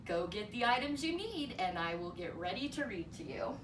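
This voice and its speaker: A woman reading a children's story aloud, deliberately, with playful emphasis on the sound words. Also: mid-range voice, sing-song, background noise. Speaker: storybook reader. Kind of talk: children's read-aloud narration.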